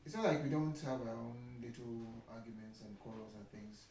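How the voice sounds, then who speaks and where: A man's voice: mid-range voice, brisk, a little mellow. A Nigerian man with a Nigerian accent, talking casually as an interview guest in an explanatory way and often checking that he is understood. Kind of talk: casual conversation about marriage. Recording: echoey room, distant mic, faint noise.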